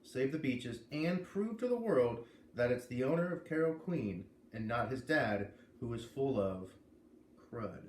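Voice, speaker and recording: Man, read-aloud narrator, expressive storybook delivery, explanatory asides, echoey room, background noise. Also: medium pitch. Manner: pauses to explain and comment on the story. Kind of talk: expressive storybook reading with commentary.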